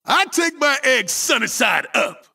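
An actor voicing a brash, boastful male chicken kart racer in a video game, with a cartoonish, squawky, shouty delivery. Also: high-pitched, very animated, unhurried, vocal fry, slightly rough, recorded from a distance, exaggerated voice. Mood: cocky. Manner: cocky, boastful, wisecracking. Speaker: game character.

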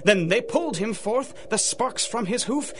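A man's voice: high-pitched, expressive, unhurried, fairly bright tone, slight background noise. A man giving theatrical, dramatic narration in rhyming storybook verse for a radio drama.

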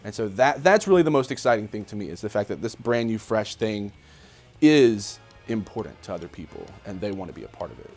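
A man's voice: on the low side, expressive, brisk, a little mellow. A man answering interview questions as a marching band director. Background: faint music.

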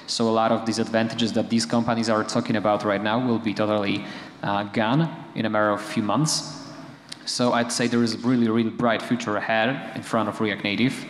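Young male panelist, steady monotone delivery, lots of fillers, handheld mic, long hall reverb. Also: on the low side, a little fast. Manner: hesitant, many fillers and restarts. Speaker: panelist, framework contributor. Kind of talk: conference panel discussion. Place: conference stage, large hall. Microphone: handheld microphone.

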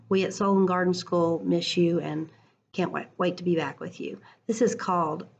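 A middle-aged woman reading a story aloud to children in a deliberate, expressive way, recorded on a computer at home. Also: mid-range voice, gravelly, slightly rough, highs cut off. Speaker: school educator reading to children. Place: living room at home. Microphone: computer webcam at arm's length.

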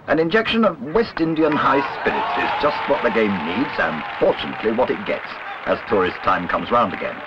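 A man reading a literary documentary narration in a measured, wry way. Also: mid-range voice, big pitch swings, crowd noise. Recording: dull lo-fi old film soundtrack, clear noise.

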